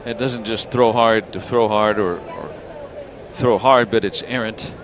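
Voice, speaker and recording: A man's voice: fairly low pitch, steady tone, unhurried. Man calling baseball over ballpark noise. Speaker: baseball play-by-play announcer. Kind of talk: live radio sports commentary.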